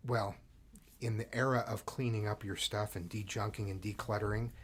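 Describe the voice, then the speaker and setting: A man's voice: lowish voice, fairly even intonation, moderate pace, slight background noise. A middle-aged man hosting a podcast interview in an upbeat, articulate, conversational way. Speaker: podcast host. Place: studio.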